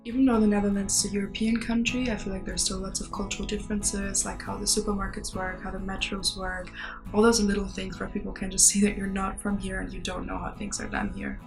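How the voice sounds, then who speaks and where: A young international student talks candidly and fast in a documentary interview. Her voice has a gravelly fry and stays flat. Clear music plays behind her. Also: mid-range voice, rough recording. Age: young adult.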